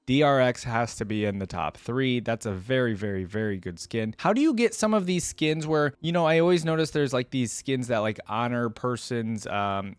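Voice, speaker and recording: A man's voice: mid-range voice, no echo. A man hosting a reaction video, talking informally and thinking aloud as he gives his commentary. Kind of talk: casual reaction commentary, reading aloud at times.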